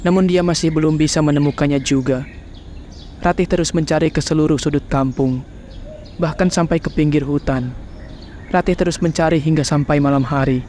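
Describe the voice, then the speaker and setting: A man giving a scripted narration for a horror cartoon, in an even storytelling manner. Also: on the high side, normal speed, a little hiss or hum.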